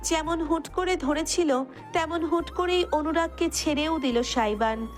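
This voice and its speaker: A woman's voice: high voice, a little slow, faint music behind. A woman narrator reading a romance story as dramatic scripted narration.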